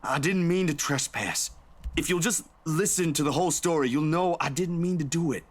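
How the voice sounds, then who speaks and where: A man's voice: a little high, moderate pace, close to an everyday voice. A man voicing a frightened young man trapped in a cage, stammering and pleading in panic. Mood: apologetic. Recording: game audio with loud music.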